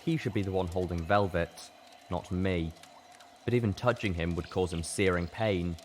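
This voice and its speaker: A man's voice: deep voice, steady tone, moderate pace, slight background noise. A man reading a first-person story in a dramatic audiobook narration style. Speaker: story reader.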